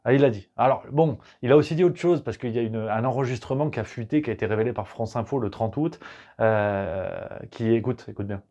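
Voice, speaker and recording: A man's voice: mid-range voice, fairly quick, mellow, dull audio. Sarcastic male political satirist. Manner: sarcastic, crude, conversational. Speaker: host.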